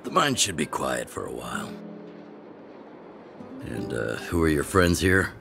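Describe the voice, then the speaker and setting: An older man voicing a gruff, weathered underground resistance chief in a video game, with measured, weighty delivery. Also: sing-song, music in the background, exaggerated voice. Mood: genial, wry. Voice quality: deep, gravelly. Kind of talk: scripted video game dialogue.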